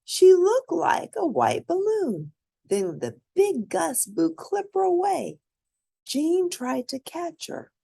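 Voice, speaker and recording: A woman's voice: very high-pitched, gravelly. A woman reading a children's picture book aloud expressively, as a storyteller for young listeners who points out the pictures. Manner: animated, pauses to point out pictures.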